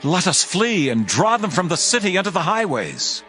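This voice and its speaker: A man's voice: high-pitched, big pitch swings, normal speed, pushed and bright, faint music behind, full character voice. A man playing an urgent ancient Israelite warrior, declaiming in a dramatized scripture reading.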